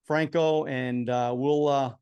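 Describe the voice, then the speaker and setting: A man's voice: mid-range voice, steady tone, slow pace, a little mellow, smooth, dull audio. A man with an American accent hosting a casual interview podcast, chatting informally in a buddy-like way and often repeating words as he goes. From Southern California, US. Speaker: podcast host.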